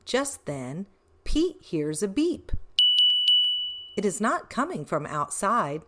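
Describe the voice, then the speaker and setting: A woman reading a children's picture book aloud in an expressive, deliberate way with emphasis on short phrases. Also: medium pitch, heavy background noise. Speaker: read-aloud storyteller for children.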